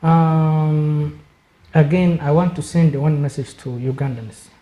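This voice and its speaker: Man from Somalia, dark echoey voice. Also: a little high, steady tone. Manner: measured, repeats words when searching. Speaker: studio guest. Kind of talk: panel discussion.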